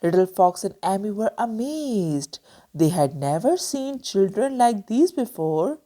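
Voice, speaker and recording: A woman storyteller reading a children's book aloud with expressive narration. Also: medium pitch, measured pace.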